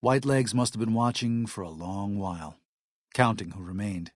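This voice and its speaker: An actor playing an earnest, weary missionary leader in a post-apocalyptic wasteland video game, speaking in a plain, measured way. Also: male voice, fairly low pitch, dry recording, studio quality, lightly acted. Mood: somber. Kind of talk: video game character dialogue.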